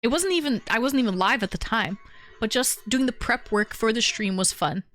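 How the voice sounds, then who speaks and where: A young woman hosts a livestream from home, rambling easily as she answers comments from her chat. Her voice sits a little high and sounds slightly stuffy from a lingering cold. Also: lively intonation, moderate pace, a bit bright. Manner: chatty, rambling, talks straight to chat viewers. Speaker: livestream host. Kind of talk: casual chat reading viewer comments.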